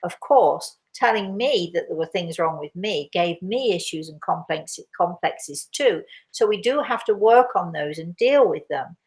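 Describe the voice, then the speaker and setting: Woman, middle-aged, slightly low pitch, croaky, casual rambling chat. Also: lively intonation, a little slow, hardly any pauses, a little mellow. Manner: chatty, rambling, many restarts. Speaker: host answering viewer questions.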